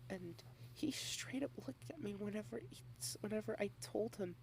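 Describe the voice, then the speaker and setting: A woman speaking softly in a close-mic ASMR girlfriend roleplay, shy and rambling, with halting repeated starts and frequent half-whispers. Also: medium pitch, creaky, slight background noise, low-quality recording. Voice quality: soft, often half-whispered.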